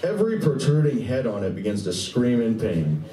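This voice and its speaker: Mellow, animated male narrator in echoey room. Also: medium pitch, moderate pace, distant mic. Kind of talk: scripted narration of a live radio play. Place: live stage reading at a convention. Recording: echoey room, picked up from afar.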